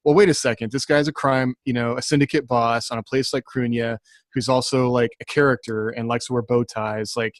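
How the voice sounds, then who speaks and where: A man's voice: mid-range voice, fairly even intonation, fairly quick, no echo. A man, an author on a podcast panel, talking casually and thinking aloud in a rambling way. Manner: rambling, thinking aloud, frequent "like" and "you know". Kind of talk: casual discussion.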